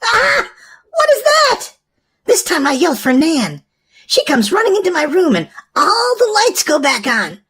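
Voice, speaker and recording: A woman reading a children's storybook aloud as an expressive narrator. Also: high voice, moderate pace. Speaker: storyteller for a children's book channel.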